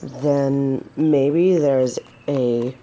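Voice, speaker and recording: A woman's voice: very deep voice, extremely slow, dark tone, heavily acted. A woman playing a gallant, teasing yet earnest female knight in a romantic fantasy audio drama, with formal, old-fashioned phrasing. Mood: gentle, cautious.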